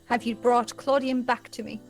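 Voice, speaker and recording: A woman's voice: fairly high pitch, flat delivery, normal speed, natural voice. A woman voicing a haughty, scornful villainess mother from a fantasy game, formal and cold. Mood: haughty, bitter. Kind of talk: reading fantasy game dialogue aloud. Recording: game music behind the voice.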